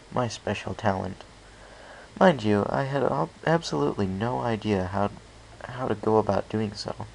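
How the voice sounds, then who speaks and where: A man's voice: lowish voice, vocal fry, poor audio. A man narrating a first-person story as an amateur audiobook reader, reading carefully with some stumbles. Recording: faint background hiss.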